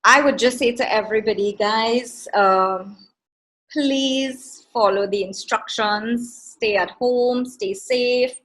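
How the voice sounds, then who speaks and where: A woman's voice: fairly high pitch, takes it slowly, fairly bright tone, rough recording. A middle-aged woman from India with a Trinidadian accent, talking earnestly in an interview with many fillers and restarts. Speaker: guest. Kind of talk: casual interview chat.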